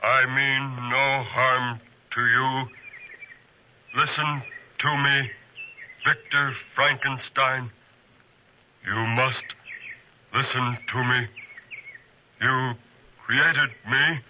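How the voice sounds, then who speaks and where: An actor playing a huge man-made monster, mournful and menacing, in halting 1940s radio horror style. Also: medium pitch, level tone, sharp tone, exaggerated voice. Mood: pleading, insistent. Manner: halting, heavily deliberate delivery. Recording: noisy, muffled 1940s radio recording.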